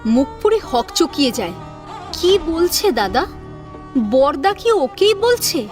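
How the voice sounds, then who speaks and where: Woman storyteller, high voice, lively intonation, dramatic scripted narration, soft sitar music behind. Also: takes it slowly.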